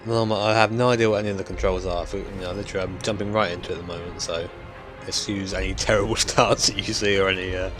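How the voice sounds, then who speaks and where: A young man, chatting casually and hesitantly about a racing game he is playing, his voice croaky. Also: low-pitched, fairly even intonation, fast talker, over music. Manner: rambling, hesitant, with many ums. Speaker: gaming YouTuber. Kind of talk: casual gameplay commentary.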